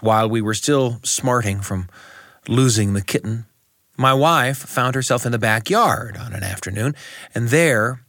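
A middle-aged man telling a personal story as a scripted monologue, reflective and deliberate, speaking in short phrases with pauses. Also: fairly low pitch, a bit bright, no echo, studio quality.

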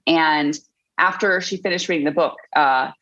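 Low-voiced woman author. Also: normal speed, a bit bright, highs cut off. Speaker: children's book author, interview guest. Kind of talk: conversational interview.

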